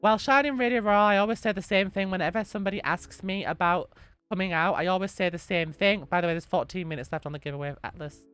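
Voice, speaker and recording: A young woman, a little hoarse from being sick, gently answering chat questions on a live stream and repeating her reassuring advice. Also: lowish voice, quick pace.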